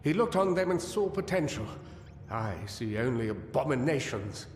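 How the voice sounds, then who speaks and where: An actor playing a weary, guilt-ridden, paranoid gnome scholar in a fantasy video game, theatrical and anguished, halting as he muses. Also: medium pitch, big pitch swings, close to an everyday voice. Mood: grave. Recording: echoey cavern-like reverb over music.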